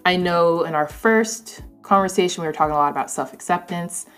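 A woman hosts a conversation in a distinctly low voice. Her delivery is casual and halting, full of informal asides. Soft music is faintly audible behind her. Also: clear, resonant tone. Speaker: interview host.

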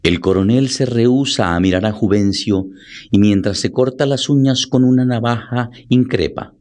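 A man's voice: mid-range voice, moderate pace, darkish tone. A man delivering scripted dramatic narration as the narrator of a story.

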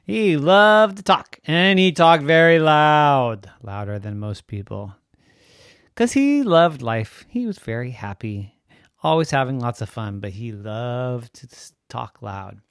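Man telling an animated bedtime story. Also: medium pitch, clear, resonant tone, no echo, studio quality. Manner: expressive, drawn-out emphasis.

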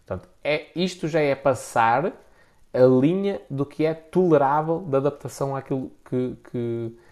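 A man's voice: mid-range voice, moderate pace, darkish tone. Blunt Portuguese man talking informally. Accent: European Portuguese. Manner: blunt, slangy, emphatic with repetition. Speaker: content creator giving business advice. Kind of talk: informal opinionated talk to an audience.

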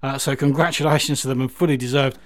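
A man's voice: mid-range voice, fairly even intonation, quick pace, dry recording. Casual male radio host, dry close sound. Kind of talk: casual music radio presenting.

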